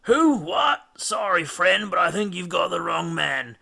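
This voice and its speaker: A man's voice: high-pitched, sing-song, measured pace, gravelly, sharp tone, voice pushed up, heavily acted. A man doing a slurring, fruit-drunk sailor. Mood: dazed, wheedling. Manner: slurred, dreamy, wheedling. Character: dazed, fruit-drunk male sailor, friendly.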